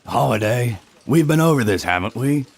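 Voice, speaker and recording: A man playing an exasperated, stern police chief with a gruff, husky voice in a comedic noir audio drama. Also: medium pitch, animated, normal speed. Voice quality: gravelly, husky.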